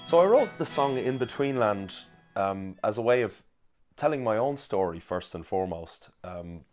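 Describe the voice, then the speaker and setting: An Irish man, a singer-songwriter, talking reflectively about his song with frequent pauses and restarts. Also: on the low side, expressive, faint music behind. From Ireland. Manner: thoughtful, halting, with frequent ums and restarts. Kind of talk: reflective interview talk.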